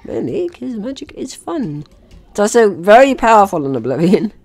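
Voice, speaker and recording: Chatty man with lively, swinging intonation. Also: high-pitched, moderate pace, vocal fry. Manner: chatty, narrates own actions in short remarks. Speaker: gaming video host. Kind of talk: casual playthrough commentary.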